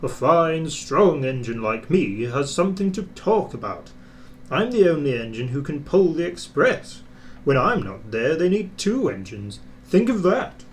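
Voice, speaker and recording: A man's voice: on the high side, a little hiss or hum. A man voicing a proud, boastful big steam engine in a children's storybook, pompous and condescending.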